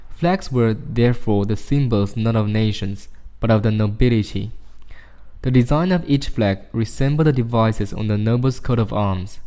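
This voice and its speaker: A man reading short study passages aloud in a measured, formal way. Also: fairly low pitch, dark tone. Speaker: reader of practice passages. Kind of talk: scripted read-aloud of study texts.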